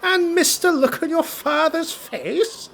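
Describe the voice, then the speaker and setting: Young elf knight retainer, performed by a man: pitched up high, honest, eager, lightly amused, for fantasy storytelling. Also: slow, vocal fry, a bit bright, slight background noise, exaggerated voice. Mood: amused, loyal.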